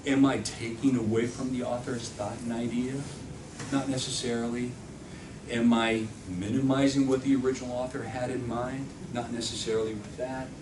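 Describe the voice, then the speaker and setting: A man leads a classroom workshop. He poses question after question and often answers them himself. He sounds far from the microphone, with a roomy echo and steady noise behind him. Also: mid-range voice, moderate pace. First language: Spanish. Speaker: workshop instructor. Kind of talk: classroom lecture. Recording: echoey room from far off, background noise.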